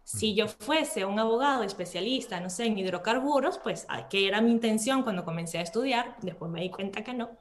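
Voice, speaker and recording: A woman with a Venezuelan Spanish accent chats as an interview guest, informal and expressive, often checking for agreement. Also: mid-range voice, a little fast, hardly any pauses. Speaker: podcast guest, intellectual property lawyer. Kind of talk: casual interview chat.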